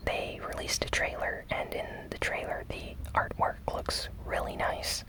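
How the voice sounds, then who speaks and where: Slow, whispering ASMR news host. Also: sharp tone, low-quality recording. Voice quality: unvoiced, breathy whisper. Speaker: host of a gaming news show.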